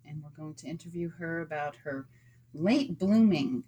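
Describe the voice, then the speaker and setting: Low-voiced woman podcast host. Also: expressive, moderate pace, warm tone, slight background noise. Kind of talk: casual interview chat.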